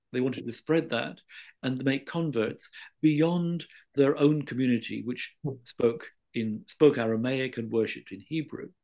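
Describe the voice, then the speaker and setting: A man speaking as a guest expert in an interview, explaining history in a scholarly, measured way and often rephrasing himself with careful qualifications. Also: mid-range voice, a little mellow.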